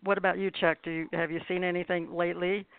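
Creaky woman host on a phone-like line. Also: fairly low pitch, flat delivery, quick pace, no room sound. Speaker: radio show host. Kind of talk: casual interview hosting. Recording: dry, band-limited phone-like sound.

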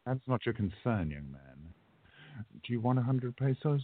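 A man playing a husky, impatient time-travelling hero. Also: medium pitch, very animated, moderate pace, no echo. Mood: brusque. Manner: brusque, emphatic. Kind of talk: radio play acting. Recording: dry, tinny phone-like line.

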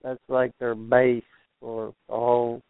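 A man from Kentucky phoning in to a radio show, chatting casually and jokingly in a halting, deadpan way. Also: medium pitch, gravelly, clear, resonant tone, no echo. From Kentucky, United States. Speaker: phone-in caller. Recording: narrow telephone line.

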